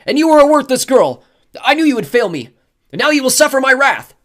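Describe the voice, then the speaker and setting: A man voicing a cruel, imperious god of war in an audiobook story, with a loud, wrathful bellow. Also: very high-pitched, very animated, fairly quick, pitched above the performer's own voice, full character voice. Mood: furious, scornful.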